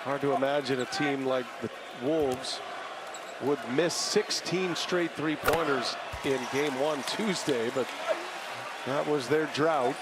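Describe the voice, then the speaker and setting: A man's voice: mid-range voice, slow pace. A man giving live play-by-play commentary on a basketball game as its announcer. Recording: very noisy relayed game broadcast. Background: loud arena ambience, bouncing ball.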